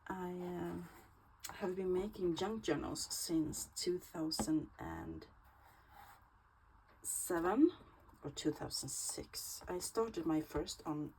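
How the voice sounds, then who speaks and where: Slow-talking Swedish woman crafter. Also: lowish voice, big pitch swings, a little mellow, slight background noise, poor audio. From Sweden. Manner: slow, chatty, hesitant with fillers. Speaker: crafting channel host. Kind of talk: casual craft tutorial.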